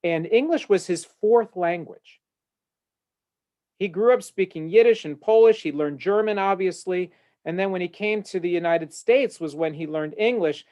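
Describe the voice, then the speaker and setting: A man's voice: high-pitched, normal speed, darkish tone. A man speaking as a rabbi on a discussion panel, earnest and eloquent with frequent filler uhs, talking from his office over a video call. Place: home office.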